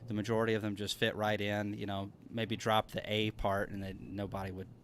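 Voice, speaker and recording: A man's voice: lowish voice, completely flat delivery, brisk, a bit bright, slight background noise. A man chatting casually as a guest, folksy and given to joking. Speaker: conference speaker, livestream guest. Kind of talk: casual chat.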